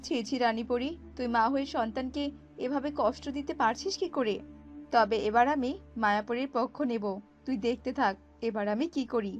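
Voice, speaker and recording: Woman as elder fairy mother in a cartoon, righteous and protective, motherly, highish voice, music behind. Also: moderate pace, muffled recording, lightly acted. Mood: outraged, resolute. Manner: scolding, resolute, motherly. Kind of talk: cartoon voice acting.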